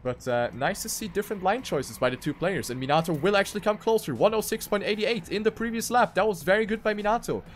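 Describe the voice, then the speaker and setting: Male esports caster for live race play-by-play, fairly high voice, emphatic repetition, light music underneath. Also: fast talker, few pauses. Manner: animated play-by-play, repeats phrases for emphasis. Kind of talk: live racing game commentary.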